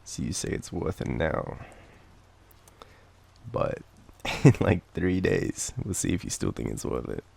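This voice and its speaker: A young Australian man with a cold, coughing now and then, murmuring softly and teasingly in an intimate boyfriend roleplay. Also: rumbling low, very animated, measured pace, creaky, slightly rough. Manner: soft, drowsy, teasing murmur.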